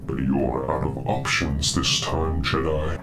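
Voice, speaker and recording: An actor playing a menacing, pompous video game villain who taunts and gloats. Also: male voice, a bass voice, little pitch movement, a little slow, music in the background. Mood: gloating, menacing.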